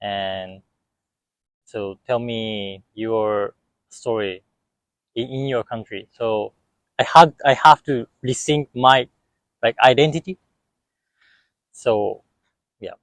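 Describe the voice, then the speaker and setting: A young man from Japan speaks English with a Japanese accent, giving halting interview answers with many pauses. His delivery is unhurried and slow. The recording is close and dry. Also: medium pitch, fairly bright tone, no room sound, low-quality recording. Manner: halting, searching for words.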